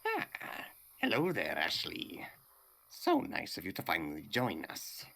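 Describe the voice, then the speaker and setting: A man voicing a small, petty game villain with mock-polite, affected manners and a creaky voice. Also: low-pitched, huge pitch swings, a little slow, sharp tone, exaggerated voice. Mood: mock-courteous. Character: small theatrical male villain, mock-courteous, petty and sneering. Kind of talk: comedic video game voice acting.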